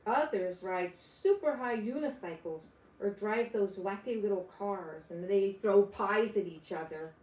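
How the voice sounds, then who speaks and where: A woman's voice: medium pitch, unhurried, darkish tone, echoey room, rough recording. An older woman, a grandmother, reading a children's storybook aloud expressively and adding chatty exclamations for young listeners. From United States.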